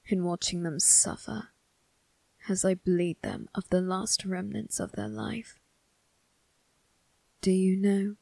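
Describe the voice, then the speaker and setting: A woman voicing a brooding, cold but protective young male vampire crime boss in an ASMR roleplay, speaking formally and deliberately in a raspy, breathy voice that dips into whispers. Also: lowish voice, a little mellow, no room sound, heavily acted. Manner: deliberate, formal, menacing, drawn-out phrasing. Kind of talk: ASMR roleplay, dramatic monologue addressed to listener.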